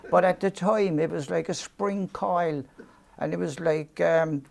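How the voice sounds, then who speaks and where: Older Irish man with a gravelly voice. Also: a little high, unhurried, a little mellow. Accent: Cork Irish, softened by years in London. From Cork, Ireland. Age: 70s. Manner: rambling, anecdotal, self-deprecating, many ums and restarts. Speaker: interview guest. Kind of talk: storytelling reminiscence.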